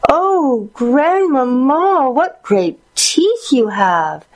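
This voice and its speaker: A woman's voice: sits high, sing-song, very slow, voice pushed up, heavily acted. A woman voicing a sweet, innocent little granddaughter in a children's storybook, earnest and wide-eyed. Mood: wondering, alarmed.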